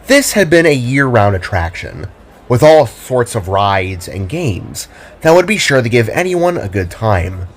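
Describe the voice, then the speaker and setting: A man's voice: medium pitch, sing-song, a little slow. A man narrating his own audio drama in a descriptive storytelling style. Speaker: narrator and writer.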